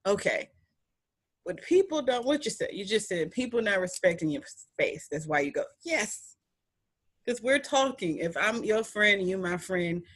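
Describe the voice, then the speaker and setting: A young woman with a deep voice hosting a podcast, chatting in an animated, emphatic way with playful repetition. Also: husky. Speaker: podcast host. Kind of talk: casual chat.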